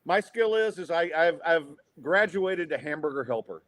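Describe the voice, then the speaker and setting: Lively, wry man in his fifties. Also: on the high side, moderate pace. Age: 50s. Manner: self-deprecating, wry. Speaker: podcast host. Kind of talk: casual podcast banter.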